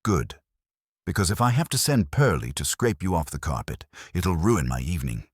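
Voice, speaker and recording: A man voicing a gruff, irritable, weary police inspector in a detective audiobook, blunt, clipped and bossy. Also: sits low, big pitch swings, moderate pace, a little mellow, dry recording, clean studio sound, full character voice. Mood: weary, grudging.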